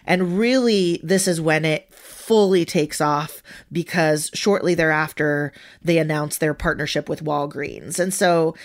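A woman co-hosting a podcast, explaining facts in a steady run in casual chat. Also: sits low, a little slow, few pauses. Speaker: podcast co-host.

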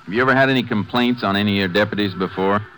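An actor playing a calm, firm Texas Ranger investigator with plain-spoken authority in a 1950s western radio drama. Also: male voice, lowish voice, rapid-fire, rough recording. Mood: calm, probing. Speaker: lead actor and narrator. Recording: old radio recording with music.

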